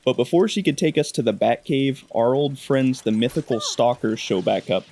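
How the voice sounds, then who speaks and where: A man's voice: medium pitch, moderate pace, darkish tone, dry recording. A young man giving casual, comedic narration of his gameplay in a wry, slangy way. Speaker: gaming video creator.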